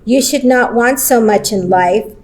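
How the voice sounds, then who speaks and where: A woman on a conference panel reading her own short creative story aloud, slow and deliberate, with Spanish phrases woven into her English. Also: a little high, darkish tone. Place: conference roundtable session.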